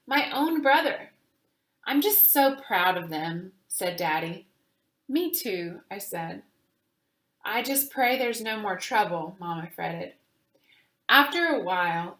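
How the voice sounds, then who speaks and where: Animated woman reading a storybook, unhurried. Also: mid-range voice, echoey room. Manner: acts out dialogue with varied expression. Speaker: reader of a children's book for a virtual summer camp. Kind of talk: expressive scripted storybook reading.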